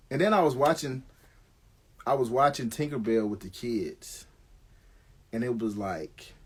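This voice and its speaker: A middle-aged American man with a husky, rough-edged voice at an average male pitch. He talks like a live-streamer telling a story to followers, in loose slang with free swearing. The delivery is casual and conversational. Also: darkish tone.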